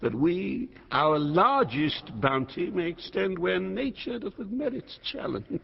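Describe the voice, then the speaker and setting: Gravelly old king, grandly volatile. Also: sits high, animated, full character voice. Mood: grand, ceremonial. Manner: grand, theatrical, deliberate, commanding. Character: aging proud king and father, imperious and volatile. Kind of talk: classical Shakespearean stage acting. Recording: muffled, reverberant hall sound.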